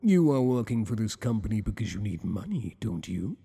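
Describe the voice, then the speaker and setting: A man playing a flirtatious, possessive tentacled alien boss in an ASMR roleplay, speaking in a teasing, courtly, deliberate way with long echoing reverb. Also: fairly low pitch, big pitch swings, gravelly, warm tone, breathy edge, exaggerated voice. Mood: seductive, coaxing.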